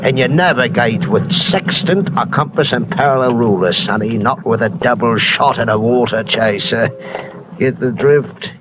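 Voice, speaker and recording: An actor playing a garrulous, hard-drinking old waterfront sailor who talks in folksy nautical slang, in a 1950s radio drama. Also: male voice, mid-range voice, moderate pace, close to an everyday voice. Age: older. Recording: hissy old radio broadcast.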